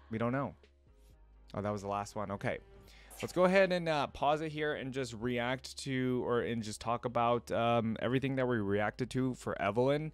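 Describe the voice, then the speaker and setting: A man's voice: medium pitch, smooth, dry recording. A man in his twenties chatting casually to viewers as a reaction video host, rambling and tentative.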